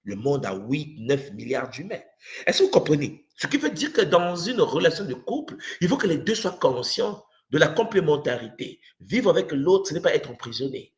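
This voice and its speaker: A man with a Congolese accent teaches spiritual ideas to an online audience. His pitch moves widely and expressively as he repeats key phrases and puts questions to his listeners in an impassioned way. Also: sing-song, normal speed. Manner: rhetorical questions, acts out imagined dialogue, repeats for emphasis.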